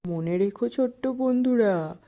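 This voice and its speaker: A woman voicing a sly jackal uncle who acts slow-witted and puzzled in a children's cartoon fable. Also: fairly high pitch, warm tone. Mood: crafty, directing. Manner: wily, feigned puzzlement. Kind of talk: children's animated fable dialogue.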